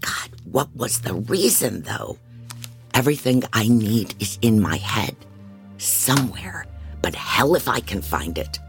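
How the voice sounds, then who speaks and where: A man's voice: lowish voice, sing-song, unhurried, gravelly, soft background music, exaggerated voice. A man playing a frantic, disorganized private investigator who narrates an audio drama in a rambling, wry, self-doubting way. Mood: agitated. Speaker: narrator and lead actor. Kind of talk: first-person dramatic audio fiction narration.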